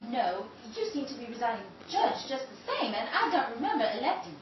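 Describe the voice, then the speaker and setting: A woman's voice: a little high, sing-song, a little fast, very echoey, distant mic, muffled recording, a crowd in the background. An actress playing a scheming, defensive married woman who stammers evasively, in a 1930s-style radio drama. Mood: guarded.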